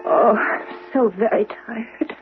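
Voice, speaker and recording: An actress playing a submissive, bewildered young singer in a 1970s radio drama, with a plaintive, childlike, pleading way of speaking. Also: female voice, on the high side, steady tone, slow pace, faint music behind. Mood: weary, wistful. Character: submissive, bewildered young female singer under hypnotic control. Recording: muffled vintage radio broadcast.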